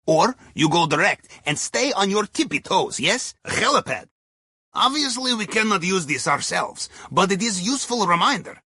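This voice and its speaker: A sly Russian ex-navy submariner guiding a crew through heists in a video game. His voice is high for a man and husky, swinging widely in pitch. He talks in a wry, conspiratorial way with a Russian accent. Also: very animated, moderate pace, sharp tone, dry recording, clean studio sound, heavily acted. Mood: wry briefing. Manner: wry, conspiratorial, with foreign phrasing. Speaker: mission guide over the radio.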